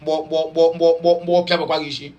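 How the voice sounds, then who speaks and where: A man's voice: fairly high pitch, level tone, brisk, a little mellow, faint music behind. A man speaking in Nigerian Pidgin with some Igbo, giving chatty, mocking news commentary full of fillers and repeats. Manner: rambling with many fillers and repeats.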